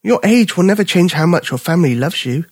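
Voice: medium pitch, expressive, fast, dry recording, clean studio sound, pitched above the performer's own voice, lightly acted. A storyteller voicing a young male bear cub who is playful and kind. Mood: earnest. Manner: playful, earnest.

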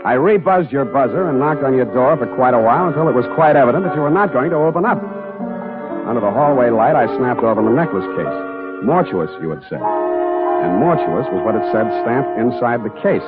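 An actor playing a cocky, sardonic hard-boiled private detective in a 1940s radio drama. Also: male voice, mid-range voice, lively intonation, brisk, narrow, tinny audio, natural voice. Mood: wry narrating. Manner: sardonic, wisecracking. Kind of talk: 1940s radio acting and first-person narration. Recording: band-limited 1940s radio broadcast with music.

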